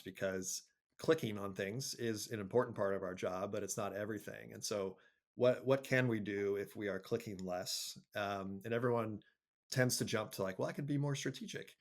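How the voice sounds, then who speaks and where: A man answering interview questions conversationally, thinking aloud in a measured way with frequent pauses. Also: lowish voice. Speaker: interview guest, marketing agency executive.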